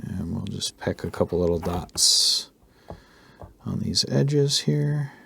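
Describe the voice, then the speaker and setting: Male art tutorial host, low-pitched, slightly hoarse, relaxed rambling delivery. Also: slow pace. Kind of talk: casual narrated drawing tutorial.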